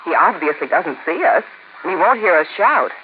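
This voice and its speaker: An actress playing a sweet, persuasive wife in an old radio sketch, earnest and doting. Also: female voice, fairly low pitch, big pitch swings, moderate pace. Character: sweet, persuasive wife coaxing her husband. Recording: tinny, faintly noisy old radio recording.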